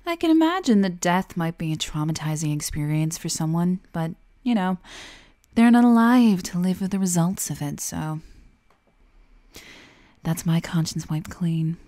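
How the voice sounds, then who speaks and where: A woman's voice: fairly low pitch, expressive, moderate pace, mellow, lightly acted. A woman playing a remorseless, sarcastic criminally insane asylum patient in a dramatic audio roleplay, theatrical and taunting. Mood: cold, remorseless. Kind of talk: ASMR-style dramatic monologue roleplay.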